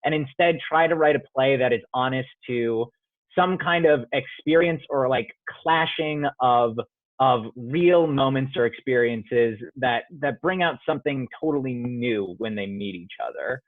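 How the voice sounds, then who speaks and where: A man's voice: mid-range voice, measured pace, no room sound. A male playwright answering interview questions thoughtfully, often restarting as he searches for words, heard over a video call. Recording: dry tinny phone-like audio.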